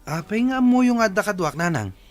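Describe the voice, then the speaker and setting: A man's voice: sits high, very animated, fairly quick, faint music behind. A man playing a grown son answering his mother in a Philippine radio drama. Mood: questioning.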